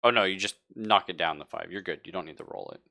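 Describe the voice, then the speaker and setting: Low-pitched man running a tabletop game. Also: quick pace, gravelly, pushed and bright, no room sound, studio quality. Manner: casual, profane, joking asides. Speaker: game master. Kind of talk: narrating a tabletop roleplaying game.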